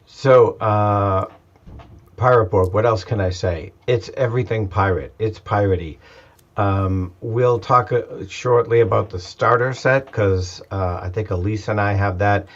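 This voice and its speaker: Boston guy leading the chat, low voice, joking around, slightly muffled audio. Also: measured pace. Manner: jokey, self-deprecating. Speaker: discussion leader. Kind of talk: casual chat.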